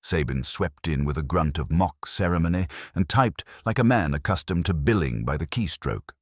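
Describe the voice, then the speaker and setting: A man narrating a scripted detective story as an audiobook reader, with wry, deliberate phrasing. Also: a bass voice, expressive, no room sound, clean studio sound.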